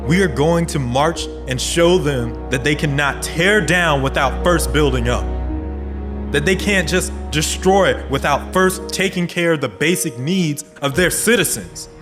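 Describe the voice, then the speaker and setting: An actor playing an earnest American community organizer in an audio drama, rallying and sincere. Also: male voice, a little high, a little slow, music in the background, lightly acted. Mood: impassioned.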